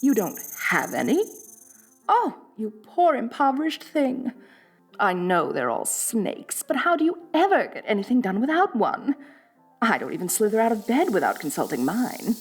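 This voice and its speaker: Woman playing a haughty lamia noblewoman, swooping, breathy delivery. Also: fairly high pitch, big pitch swings, moderate pace, faint music behind. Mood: condescending, bossy. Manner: haughty, condescending, drawling with affected elegance. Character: haughty, rich, bossy female lamia noble, snake-woman mistress. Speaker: wealthy mistress addressing a new servant. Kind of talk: roleplay audio drama, ASMR.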